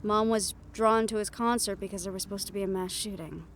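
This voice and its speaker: A woman's voice: mid-range voice, steady tone, brisk, slight background noise, close to an everyday voice. A woman playing a young, wry and affectionate siren-banshee hybrid in an intimate roleplay audio drama, talking in a teasing, conversational way. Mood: wry, amused. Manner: soft-hearted, teasing, conversational storytelling.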